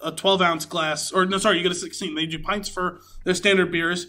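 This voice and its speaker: A man co-hosting a podcast in casual chat, explaining details and pausing now and then to find his words. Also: sits high, flat delivery, pushed and bright, a little hiss or hum. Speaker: podcast co-host. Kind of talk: casual chat about craft beer and films.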